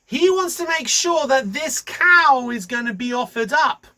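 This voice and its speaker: A storyteller voicing a scheming man who slowly and smoothly persuades the priests. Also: high voice, lively intonation, sharp tone, voice pushed up, full character voice. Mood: sly. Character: scheming man presenting a damaged cow.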